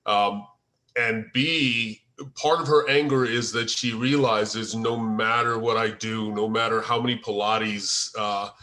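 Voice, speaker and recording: A man leading a discussion of a script on a video call, explaining in a deliberate way. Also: on the low side, steady tone, pushed and bright, rough recording. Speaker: playwright leading a table-read feedback session. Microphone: video call microphone.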